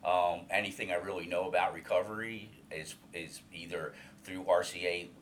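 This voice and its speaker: A man's voice: low voice, steady tone, normal speed, slight background noise. A man in his fifties, a guest in an interview, speaking openly and earnestly about his past with many restarts and fillers. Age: 50s. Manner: loud voice. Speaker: alumni coordinator, guest.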